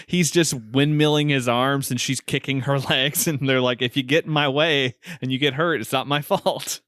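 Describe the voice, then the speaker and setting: A man's voice: medium pitch, moderate pace, fairly bright tone, no echo, clean studio sound. A man co-hosting a podcast, recapping a film in casual chat with an amused manner. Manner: amused, often chuckling through words. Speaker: podcast co-host.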